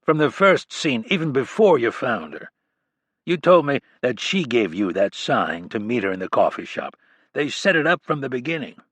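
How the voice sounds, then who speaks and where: A man's voice: mid-range voice, very animated, normal speed, a bit bright, breathy edge, no echo. A male narrator voicing a bitter, commanding male criminalist, dry and cutting.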